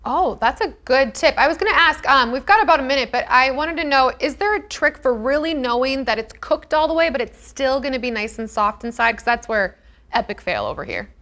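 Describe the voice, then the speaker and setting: A woman's voice: high-pitched, expressive, fairly quick, a bit bright. A woman co-hosting a morning TV show, chatty and upbeat. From Minnesota. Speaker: TV co-host.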